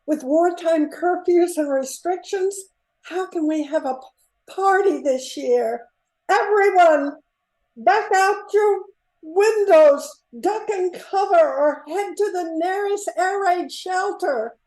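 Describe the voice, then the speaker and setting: An older woman playing a jittery small-town mayor in an amateur radio play, flustered and halting. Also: extremely high pitch, a little mellow, roomy sound, low-quality recording. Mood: alarmed. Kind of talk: amateur old-time radio play acting.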